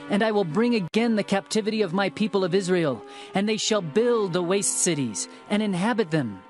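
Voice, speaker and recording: A man narrating dramatized scripture in a solemn, declamatory way with measured phrasing. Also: high-pitched, animated. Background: music.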